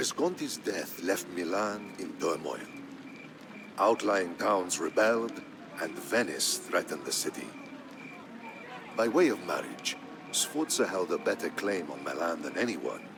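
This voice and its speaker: A man narrating a historical tale in a scripted, dramatic style, solemn and measured. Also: deep voice, animated, vocal fry, background noise. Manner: solemn, measured storytelling delivery. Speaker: narrator of a strategy game campaign story. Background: background music.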